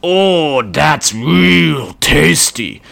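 A man's voice: fairly high pitch, animated, a bit bright, voice pushed up, full character voice. Man doing a bellowing brute orc boss. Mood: gruff. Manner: gruff bellowing slow orc slang. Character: huge angry brutish male orc boss.

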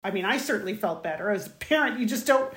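A woman's voice: medium pitch, lively intonation, fast talker, a bit bright. A middle-aged woman, the host's mother, talking in an earnest, proud, rambling way. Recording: echoey room.

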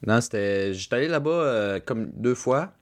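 A man's voice: mid-range voice, animated, moderate pace. A man with a Québécois French accent hosting a podcast, jokey and chatty, with fillers and restarts. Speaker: podcast host. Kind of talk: casual podcast chat.

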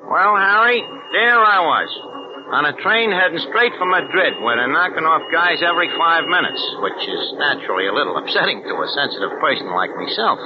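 An actor playing a streetwise American check forger, talking in tough street slang in an old radio drama. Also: male voice, on the high side, animated, brisk, lightly acted. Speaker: narrator of his own story. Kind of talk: 1940s radio acting. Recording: muffled old radio recording with hiss.

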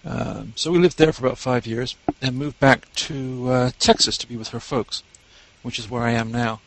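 English guy, guest storyteller, wry and relaxed, lots of ums. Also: medium pitch, moderate pace, no room sound, poor audio. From England. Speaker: guest author. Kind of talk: casual interview chat.

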